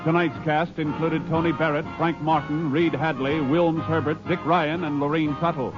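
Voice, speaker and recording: Higher male announcer reading credits over clear music on a muffled vintage broadcast. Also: steady tone, moderate pace. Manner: formal, crisp. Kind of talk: 1950s radio announcing. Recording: dull old radio recording over music.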